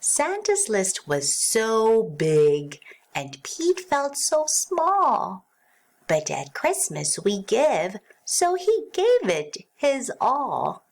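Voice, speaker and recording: A woman reading a rhyming children's storybook aloud as narrator, in an expressive sing-song way. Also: on the high side, drawn-out words.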